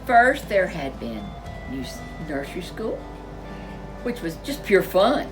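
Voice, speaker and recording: A woman storyteller reading a children's picture book aloud, expressively, with drawn-out emphasis and chatty asides. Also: on the low side, sing-song, music in the background, low-quality recording. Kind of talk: expressive read-aloud narration for young children.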